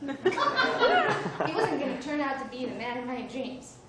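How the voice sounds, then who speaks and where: A woman's voice: sits high, huge pitch swings, unhurried, over loud music. A woman who grew up in Mexico performing a wry, self-mocking comedy monologue on stage in her one-woman show. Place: theater stage, live audience. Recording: distant echoey live stage recording with noise.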